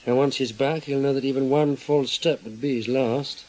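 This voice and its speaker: An actor playing a sceptical intelligence officer in a radio drama, putting brief, pointed questions with cool authority. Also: male voice, medium pitch, steady tone, normal speed, a little mellow, natural voice, rough recording. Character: probing male British intelligence colleague, cool, sceptical, authoritative.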